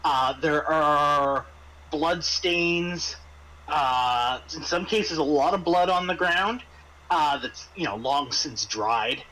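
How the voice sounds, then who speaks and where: Guy running a tabletop rpg, bright kinda high voice, keeps stopping and repeating himself. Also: a little hiss or hum, low-quality recording. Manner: halting, repeats words while searching for phrasing. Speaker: game master. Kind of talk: improvised tabletop game narration.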